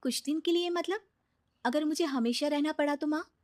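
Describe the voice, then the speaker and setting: A woman playing young daughter-in-law, high pitch, earnest, polite, dutiful, dry close sound, moral story. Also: moderate pace, a little mellow, no room sound, lightly acted. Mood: hurt, pleading. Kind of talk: animated Hindi moral story dialogue.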